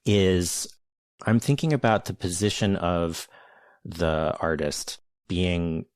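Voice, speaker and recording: A man hosting an interview, talking in a measured, searching way with many restarts and hedges. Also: deep voice, a little mellow, clear, resonant tone, no room sound. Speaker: podcast host, interviewer. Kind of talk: thoughtful conversational interview.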